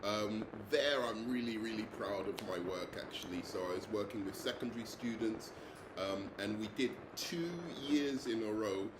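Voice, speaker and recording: A young man from London introduces himself as a teacher, pausing often on ums and uhs. His voice sits a little low. The room rings with echo, and steady background noise runs under him. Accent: English, London. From England. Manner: hesitant, many ums and uhs. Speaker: English teacher. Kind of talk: self-introduction video.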